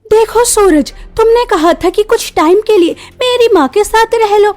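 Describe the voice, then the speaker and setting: A woman's voice: very high voice, unhurried, a little mellow, voice pushed up. A woman voicing a haughty, complaining young city-bred daughter-in-law in a cartoon story, insistent and snobbish. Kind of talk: Hindi cartoon story voice acting.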